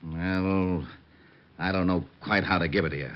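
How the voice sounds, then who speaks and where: An American actor playing a gruff, beleaguered police lieutenant in 1940s radio drama style. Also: male voice, low voice, fairly even intonation, moderate pace, lightly acted. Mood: worried. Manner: gruff, harried. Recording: dull old radio recording.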